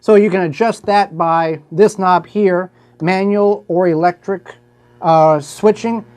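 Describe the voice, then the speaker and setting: A man's voice: sits high, fairly even intonation, slow, a little mellow. A man hosting a casual show-and-tell, explaining things in a folksy, plainspoken way. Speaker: host showing a collectible.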